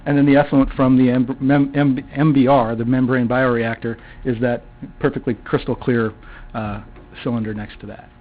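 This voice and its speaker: Soft-spoken man on thin meeting audio. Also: mid-range voice, level tone. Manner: soft-spoken, halting with fillers. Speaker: utility presenter at city council. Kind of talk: public meeting presentation. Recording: phone-like council audio.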